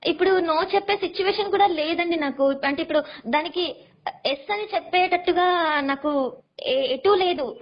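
A woman's voice: very high-pitched, normal speed, long pauses. A young woman seeking advice, explaining her situation in an earnest, pleading way, recorded with dull sound. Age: young adult. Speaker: caller seeking relationship advice. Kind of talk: personal explanation in conversation.

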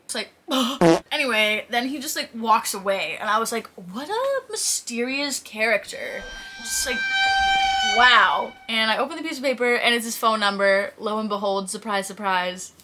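A woman's voice: on the high side, animated, normal speed, sharp tone, a little hiss or hum. A woman, chatting casually to camera and acting out the stories she tells. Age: 20s. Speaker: fitness content creator. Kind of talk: casual chat, answering viewer questions to camera. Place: small plain indoor room, seated.

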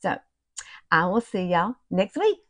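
A woman's voice: lowish voice, big pitch swings, unhurried, no room sound, studio quality. A woman in her fifties with a Southern US accent, chatting casually and folksily as a beauty vlogger, as if to her girlfriends. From southern United States. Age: 50s. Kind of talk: casual chatty makeup tutorial. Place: small room at home, indoors.

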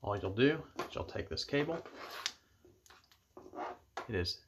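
A man giving measured, matter-of-fact step-by-step instructions as he demonstrates an equipment setup indoors at a table. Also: lowish voice, big pitch swings. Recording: clear background noise with clicks.

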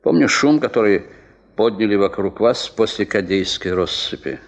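A man's voice: on the low side, animated, normal speed. An actor playing an ailing, wry, respected older man in a 1970s Soviet radio play, with an ironic, pointed manner. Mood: dry irony.

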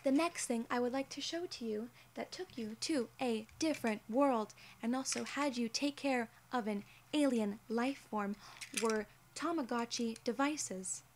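A woman in her twenties performing an ASMR roleplay in a measured, deliberate and formally worded way, close to the mic. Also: on the high side, slight background noise. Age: 20s. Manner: measured, deliberate, formal phrasing as an alien gatekeeper. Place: small indoor room, seated in an armchair.

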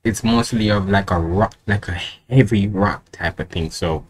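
A man's voice: lowish voice, moderate pace. A man in his twenties giving casual reaction commentary in a rambling, colloquial way, often repeating himself. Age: 20s. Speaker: reaction video host. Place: indoor room at home with curtains. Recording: noticeable background noise, home recording.

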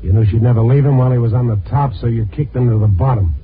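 A man's voice: medium pitch, fairly even intonation, fast talker, slight background noise, close to an everyday voice. An American man playing a tough, world-weary newspaper reporter in a hardboiled 1950s radio drama. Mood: angry, threatening. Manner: hardboiled, blunt. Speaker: lead actor and narrator. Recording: tinny old 1950s radio recording, music.

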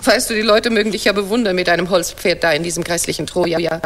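An actress in a German radio play playing a proud, jealous wife of about forty, theatrical and pointed. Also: female voice, fairly low pitch, quick pace, a bit bright, a little hiss or hum, natural voice. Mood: indignant.

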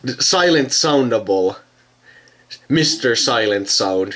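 A young man with an average-pitched voice talks casually. He rambles as he thinks aloud, often leaving sentences unfinished. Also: animated, a crowd in the background. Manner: rambling, trailing off mid-sentence. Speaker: video host recording himself with a friend. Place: indoors at a computer.

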